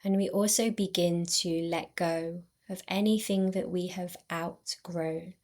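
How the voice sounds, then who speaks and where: A woman guiding a yoga nidra relaxation in a soothing, unhurried way, with long pauses between short phrases. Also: fairly low pitch, fairly even intonation, darkish tone. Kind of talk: guided meditation.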